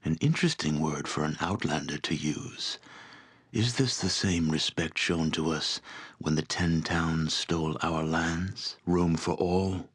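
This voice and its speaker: A barbarian chieftain with a very low, weighty voice. He is proud and embittered, delivering formal, deliberate speeches in the grave manner of a fantasy video game leader. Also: breathy edge, full character voice. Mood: bitter accusation. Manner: solemn, formal, deliberate oratory. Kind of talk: scripted fantasy game voice acting.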